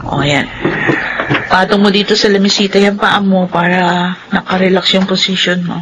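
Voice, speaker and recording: A soft-spoken young wife in a radio drama, voiced by a woman, sweet and evasive with her husband. Clear background noise sits under her voice. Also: medium pitch, fairly even intonation, normal speed, lightly acted. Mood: coaxing. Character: young wife Melinda, expecting a baby.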